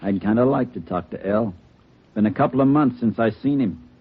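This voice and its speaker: A man's voice: on the low side, expressive, normal speed, slightly rough. An American man acting a cocky, menacing hoodlum in a radio crime drama, with slangy, taunting tough talk. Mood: brooding. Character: cocky, menacing male hoodlum holding police at bay. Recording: tinny, faintly noisy vintage radio recording.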